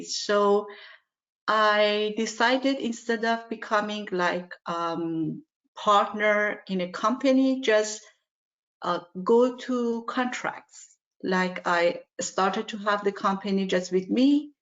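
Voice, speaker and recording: A woman from Iran answering as a webinar panelist, halting with many fillers and non-native phrasing. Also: medium pitch, fairly bright tone. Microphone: video call. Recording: muffled online audio.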